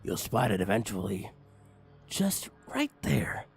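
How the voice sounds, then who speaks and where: A man reads a parody horror story with mock-ominous drama. His voice is lowish and husky, swinging widely in pitch as he draws out phrases theatrically. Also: sing-song, slow pace, soft background music. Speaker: creepypasta reader. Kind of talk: dramatic comic story narration.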